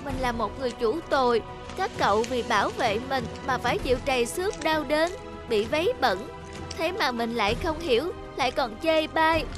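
High-voiced, emotive little cartoon boy. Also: lively intonation, moderate pace. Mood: pleading, remorseful. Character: young boy, whiny, fickle, then remorseful. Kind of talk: cartoon dubbing. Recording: music behind the voice.

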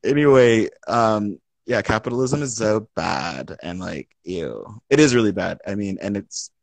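A young man with a lowish voice talks through his thoughts in a relaxed online chat, full of "like" and false starts. The sound is dry and close, as if from a phone held near his face in a room at home. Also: unhurried, gravelly, no echo, rough recording. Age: young adult. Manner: thoughtful, rambling with many fillers and restarts. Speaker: artist in conversation. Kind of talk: casual live-stream chat. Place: indoors at home. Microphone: phone close to face on a video call.

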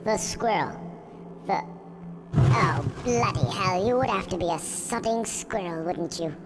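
A man's voice: high-pitched, a little slow, very noisy. A man voicing a short, put-upon Grim Reaper in a video game, British, irritable and sardonic as he grumbles and swears. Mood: grumpy, irritable. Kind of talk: video game cutscene dialogue.

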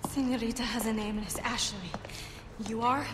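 A woman's voice: on the high side, steady tone, moderate pace, noisy background, lightly acted. An actress playing a spirited but vulnerable young woman in a video game. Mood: indignant. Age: young adult. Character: spirited, frightened young woman, kidnapped president's daughter. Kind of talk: cinematic video game acting. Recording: echoey game cutscene under loud music.

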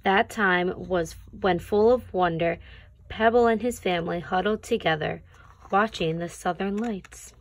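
A woman reading a children's picture book aloud as a teacher would, with deliberate phrasing for young listeners. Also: fairly low pitch, poor audio. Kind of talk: read-aloud children's storybook narration.

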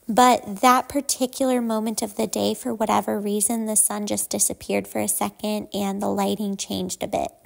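A woman's voice: a little high, gravelly. A woman in her thirties giving a chatty, easygoing voice-over for her home cleaning vlog, explaining what she does as she goes. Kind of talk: casual voice-over narration.

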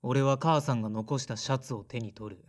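A man's voice: medium pitch, brisk, natural voice. An actor playing a blunt, sullen man in his thirties in an audio drama, casual and introspective. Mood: subdued, reflective. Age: 30s. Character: sullen, blunt son of a weaving family, mid-thirties. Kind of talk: audio drama narration and dialogue.

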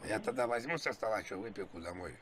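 A man's voice: lowish voice, animated, fast talker, fairly bright tone. A man making an improvised prank phone call, rambling and repeating himself with feigned naive helplessness. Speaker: prank caller.

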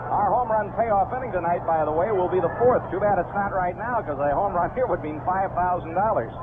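A man doing live baseball play-by-play on the radio, calling each pitch in clipped phrases. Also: fairly quick. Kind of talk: live sports commentary. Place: outdoor stadium broadcast booth. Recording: lo-fi 1970s AM radio broadcast, heavy noise.

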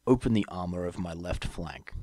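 A deep, gravelly armored warhorse played by a man for an audiobook. He is wounded and stoic, speaking formally with weary resignation, in a close, dry sound. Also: moderate pace, mellow, no echo, lightly acted. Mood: stoic, defensive. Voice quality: low, gravelly. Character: Steelhooves, wounded armored male pony soldier, resigned, stoic. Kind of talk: audiobook character voice.

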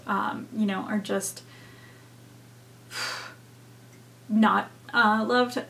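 A woman's voice: medium pitch, a bit bright. Young woman reacting, thoughtful and hesitant. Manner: thoughtful, hesitant, many fillers. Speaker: reaction video host. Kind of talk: casual reaction commentary. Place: home room with bookshelves.

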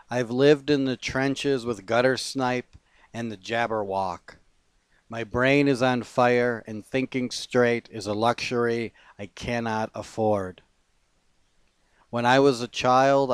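A man reading poetry as a poet, in measured, deliberate phrases with pauses. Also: mid-range voice, smooth, no room sound.

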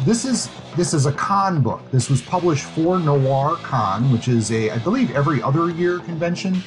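A man with a mid-pitched voice hosts a conversational review segment on a podcast. He talks informally and with enthusiasm. Guitar music plays clearly behind him. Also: low-quality recording. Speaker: podcast host and book reviewer.

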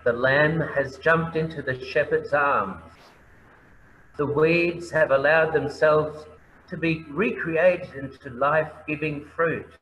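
Slow, reverent Australian priest. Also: male voice, on the high side, fairly bright tone. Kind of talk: sermon. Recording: echoey room, faint background noise.

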